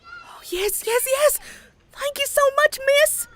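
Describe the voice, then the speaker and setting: Little cartoon filly, very high swooping voice, frightened, polite. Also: female voice, lively intonation, slow pace, faint music behind, heavily acted. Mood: relieved, curious. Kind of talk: animated comic dub acting.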